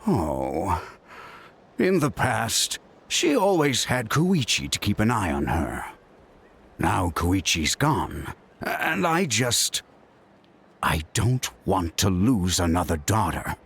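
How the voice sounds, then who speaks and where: An actor playing a stern but caring middle-aged father, world-weary and emphatic, with a gravelly voice, in a video game. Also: deep voice, big pitch swings, slow, a bit bright, full character voice. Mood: worried, weary. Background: background music.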